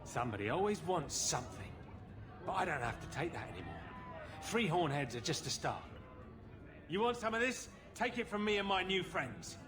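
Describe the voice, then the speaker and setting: An actor playing a drunken, boastful but cowardly lowlife in a video game, with rough, blustering street talk. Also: male voice, sing-song, normal speed, over music. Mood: cocky, belligerent. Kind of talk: video game cutscene acting.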